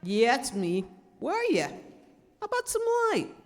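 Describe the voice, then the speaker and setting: A woman playing a jumpy, uneasy male small-time crook, excitable and streetwise, in 1930s radio style. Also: on the high side, a little slow, exaggerated voice. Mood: startled, uneasy.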